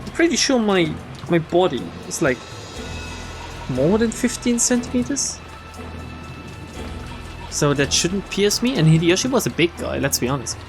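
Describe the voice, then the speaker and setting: A man with a slightly high voice reads a visual novel aloud on stream. He drops in wry, casual commentary between passages. Soft game music plays behind him. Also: big pitch swings, moderate pace, pauses often. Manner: wry, chuckling asides, casual swearing.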